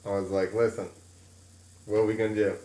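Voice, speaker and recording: A man's voice: on the low side, fairly quick, roomy sound. A man in his thirties co-hosting a podcast, joking and swearing as he tells stories in casual banter. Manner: joking, profane, animated storytelling. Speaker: podcast co-host.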